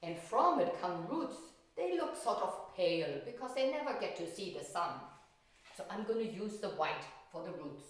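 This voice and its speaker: A woman's voice: mid-range voice, lively intonation, normal speed, a little hiss or hum. A woman teaching a children's painting lesson, explaining step by step in simple words and asking questions. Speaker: art teacher. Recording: very echoey room, distant mic.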